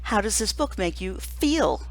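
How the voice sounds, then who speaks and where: A woman's voice: medium pitch, very animated, unhurried. A woman reading a storybook and voicing an overly cheery female kindergarten teacher with exaggerated questioning.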